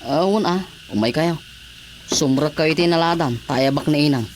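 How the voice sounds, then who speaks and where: An actress playing a protective, feisty young daughter with an emphatic, direct radio drama delivery. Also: female voice, sits low, normal speed, close to an everyday voice. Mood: wary, direct. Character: protective, feisty grown daughter, quick to defend mother. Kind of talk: Ilocano radio drama acting. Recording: steady background noise.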